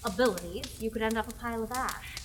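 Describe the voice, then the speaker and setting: A young actress playing an eager, polite peasant widow getting on in years who hopes to become a knight. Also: female voice, a little high, fast talker, background noise, lightly acted. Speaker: student actor in a radio play. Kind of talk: amateur radio play acting.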